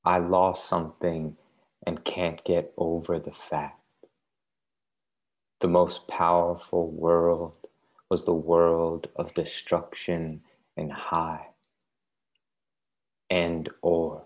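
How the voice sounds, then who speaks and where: A man's voice: low-pitched, completely flat delivery, extremely slow, long pauses, a little mellow. A man in his thirties reading and introducing poems from home on an earbud mic over a video call. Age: 30s. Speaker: poet at a reading. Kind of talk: poetry reading with casual introductions. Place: home living room, indoors. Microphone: wired earbuds on video call.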